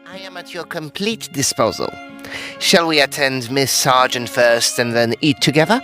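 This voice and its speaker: A man's voice: medium pitch, normal speed, pushed and bright, soft background music, close to an everyday voice. A man playing a decisive, courteous Edwardian occult detective in an audio drama, speaking formally. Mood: keen, courteous.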